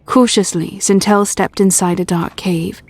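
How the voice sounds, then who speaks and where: A woman's voice: mid-range voice, steady tone, unhurried, darkish tone, husky. Woman narrating a fairy tale over faint music. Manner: storybook storytelling.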